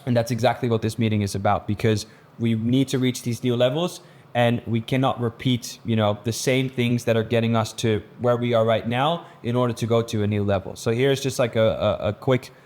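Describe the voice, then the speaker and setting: A man's voice: mid-range voice, steady tone, brisk, a little mellow. Earnest young man giving a business training. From Netherlands. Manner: earnest, motivational, with frequent restarts and fillers. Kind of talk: casual vlog intro, then presentation-style training.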